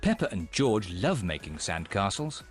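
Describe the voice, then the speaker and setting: A gentle children's cartoon narrator. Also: male voice, deep voice, sing-song, moderate pace, soft background music. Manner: gentle, explanatory storybook telling.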